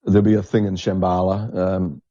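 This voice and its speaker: A man's voice: sits low, level tone, a little fast, mellow, no echo. A man hosting a podcast in casual chat, enthusiastic and repeating himself for emphasis, on a lo-fi recording. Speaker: podcast host. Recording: dull lo-fi, dry microphone sound.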